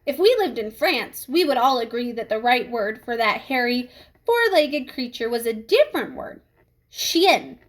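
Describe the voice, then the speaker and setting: A strict woman schoolteacher from a children's storybook, played by a woman reader. She speaks in a high, lively voice, measured and polite, with controlled authority. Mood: patient explaining. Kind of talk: children's storybook read-aloud.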